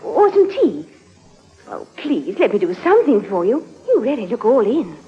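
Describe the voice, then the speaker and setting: An actress playing a kindly young village woman in an old radio drama, gentle, sympathetic and insistent. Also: a little high, huge pitch swings, normal speed, slightly rough, exaggerated voice. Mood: concerned, solicitous. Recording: dull vintage radio recording.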